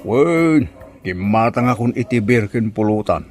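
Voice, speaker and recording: A man's voice: on the low side, lively intonation, unhurried, a little mellow, soft background music. A man with an Ilocano accent playing a nagging, exasperated, blustery uncle in a comedy drama, scolding and exclamatory. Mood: advising.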